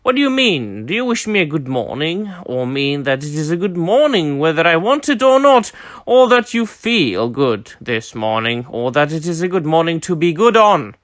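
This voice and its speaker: A man's voice: fairly high pitch, sing-song, normal speed, a bit bright, no room sound, clean studio sound. A man reading aloud as a wry, pedantic old male wizard.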